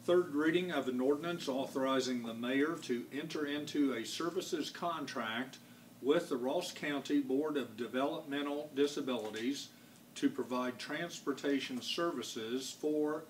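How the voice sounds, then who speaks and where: An American man working as a council clerk, formally reading out official business. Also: mid-range voice. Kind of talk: formal reading of ordinances and roll call. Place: wood-paneled council chamber. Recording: distant room microphone, faint background noise.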